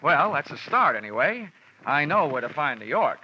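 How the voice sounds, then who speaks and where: An actor playing a cynical, quippy insurance investigator in a 1940s radio detective drama. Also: male voice, on the high side, big pitch swings, moderate pace. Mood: wry, brisk. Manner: sardonic, quippy. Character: wisecracking, cynical freelance male insurance investigator. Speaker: radio drama lead and narrator. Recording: muffled old radio broadcast, music behind.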